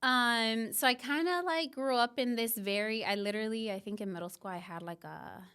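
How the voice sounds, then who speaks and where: A woman's voice: a little high, normal speed, a bit bright, clear, resonant tone. A young woman from Mexico, a podcast guest talking through her life in a reflective, casual way with plenty of fillers. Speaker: podcast guest, business founder.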